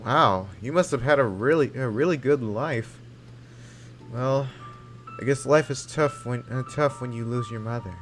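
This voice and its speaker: A man giving casual, offhand commentary during a video game playthrough, with halting phrasing. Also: medium pitch, soft background music. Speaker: gamer reacting during playthrough.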